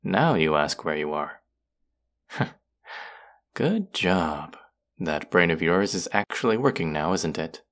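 A man's voice: deep voice, expressive, takes it slowly, pauses often, a bit bright, heavily acted. A young man playing an irritable, predatory vampire college student in an intimate audio roleplay, curt, sarcastic and condescending. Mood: smug, cold.

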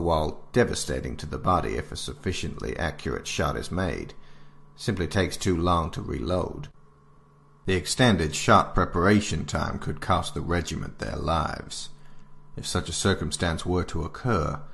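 A man playing a stern military handbook narrator, reading scripted instructions in a grave, measured, formal and authoritative way. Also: extremely low pitch, gravelly, a bit bright, heavily acted. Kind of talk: scripted narration of an alternate-history field manual.

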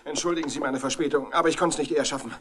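A man's voice: on the high side, racing, fairly bright tone, close to an everyday voice. A man playing a fussy, self-pitying husband in a 1960s radio crime drama, with a polite manner. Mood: flustered. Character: fussy, put-upon husband arriving late, self-pitying.

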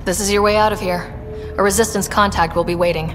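A woman's voice: medium pitch, steady tone, fast talker, lightly acted. An actress playing a determined young female space explorer in a video game, direct and wry. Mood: firm, probing. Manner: direct, dry. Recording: reverberant rooms, music behind. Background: game soundtrack music.

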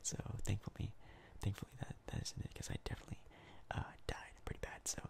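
A young man giving soft-spoken ASMR game commentary in a breathy, crackly whisper, casual and rambling with hesitant pauses. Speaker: ASMR gaming YouTuber.

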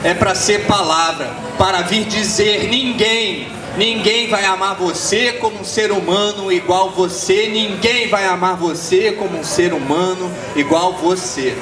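A man's voice: high-pitched, steady tone, measured pace, hardly any pauses, echoey room. Young man chanting poetry on a street mic. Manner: rhythmic, chanted delivery. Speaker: poet performing. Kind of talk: spoken word poetry over music. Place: outdoor street square at night. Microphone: handheld mic.

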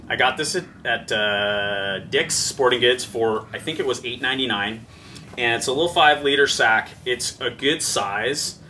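A man's voice: medium pitch, sharp tone, slight background noise. Chatty man on a distant outdoor mic. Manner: chatty, self-deprecating, many ums and laughs. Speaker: backpacking gear vlogger. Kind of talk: casual gear walkthrough. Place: backyard patio outdoors. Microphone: camera mic at a few meters. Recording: distant camera mic with faint outdoor ambience.

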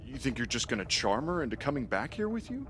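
An actor plays an American man in his thirties, a skeptical, straight-laced lawman in a video game. He hesitates, then cautions with exasperation, his mid-pitched voice moving expressively. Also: sing-song, fast talker, noisy background, close to an everyday voice. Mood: exasperated. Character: worried, skeptical older brother, straight-laced young lawman. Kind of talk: naturalistic video game acting.

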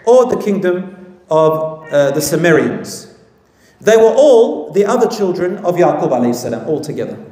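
A man's voice: fairly high pitch, warm tone. A middle-aged man giving a weekly mosque lecture, seated before an audience and speaking emphatically on a headset mic. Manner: emphatic, addresses audience directly. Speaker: religious lecturer. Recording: roomy hall with long reverb.

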